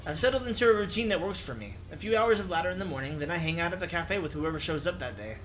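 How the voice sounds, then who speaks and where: A man's voice: a little high, animated, fast, a bit bright, slight background noise, rough recording. A man hosting a let's play, reading a visual novel aloud and adding casual commentary. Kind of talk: game narration and casual commentary. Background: background music.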